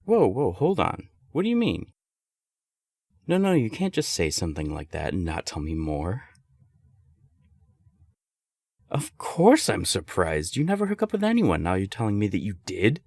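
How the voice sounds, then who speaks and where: Man playing a young serial-dater friend for audio roleplay: low gravelly voice, candid, emphatic, secretly smitten. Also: wildly swooping pitch, moderate pace, a little mellow, dry recording, studio quality, exaggerated voice. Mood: surprised, curious. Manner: conversational, hesitant, emphatic swearing. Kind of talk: intimate audio roleplay acting.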